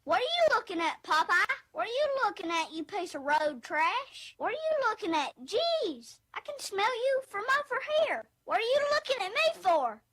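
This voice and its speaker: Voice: very high voice, sing-song, normal speed, hardly any pauses, bright, forceful tone, exaggerated voice. A performer voicing a brash, crude little heckler who taunts and insults people. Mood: taunting, rude.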